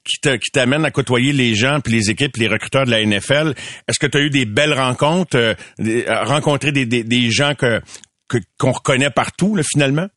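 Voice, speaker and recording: A man's voice: mid-range voice, a little fast, a bit bright, no echo, studio quality. An older man, interviewing an athlete with long, wordy, enthusiastic questions. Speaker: sports interviewer. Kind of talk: radio sports interview.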